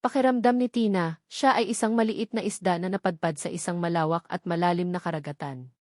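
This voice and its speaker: A woman's voice: lowish voice, fairly quick, a little mellow, dry recording, clean studio sound. A woman reading scripted dramatic story narration.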